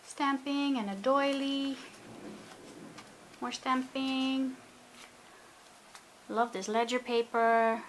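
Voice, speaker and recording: A woman's voice: high-pitched, fairly even intonation, very slow, pauses often, a little hiss or hum, rough recording. A woman casually narrating a craft journal flip-through, chatty and gushing, often talking to herself. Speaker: craft video maker. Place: indoors at a table.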